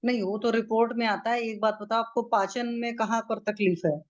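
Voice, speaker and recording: A woman doctor questions a patient over a video call in a friendly, reassuring way, often closing with short checks. Her voice sits a little high. The audio is muffled and lo-fi. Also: fairly even intonation, fairly quick. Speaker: Ayurvedic doctor taking a case history. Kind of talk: casual consultation questioning.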